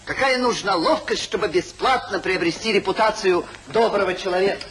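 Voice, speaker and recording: An actor playing a calculating, disdainful gentleman hypocrite in a 1950s radio play. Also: high-pitched, normal speed. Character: smooth hypocritical gentleman schemer, calculating and stingy. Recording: faintly noisy 1950s radio recording.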